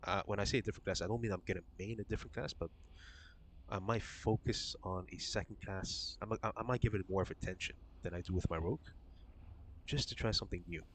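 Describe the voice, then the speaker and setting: Man, game streamer, low voice, slightly raspy from a cold, rambling casual chat, faint background hiss. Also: fast. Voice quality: relaxed, rambling, swears casually. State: slightly raspy from being sick.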